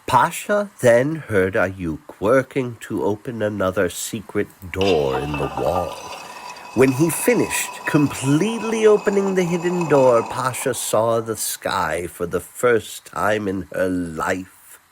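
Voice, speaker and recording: A man narrating a fantasy romance as a storyteller, in a tender, theatrical manner with dramatic pauses. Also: on the low side, lively intonation, background noise.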